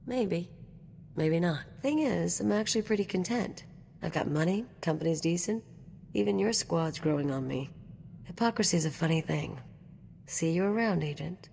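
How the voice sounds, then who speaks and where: A brash mercenary woman from a video game, with a low-pitched voice. She swaggers and teases in slangy, casual talk. The sound rings with hall reverb over background noise. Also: expressive, moderate pace, vocal fry, a little mellow. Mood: reflective, wry. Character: brash, cocky female anarchist mercenary companion.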